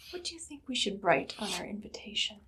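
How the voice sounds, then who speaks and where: A woman playing an evil, menacing queen with dry humor in a soft, whispered ASMR roleplay, giving slow commands. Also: medium pitch, very animated, a little hiss or hum. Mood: dryly menacing.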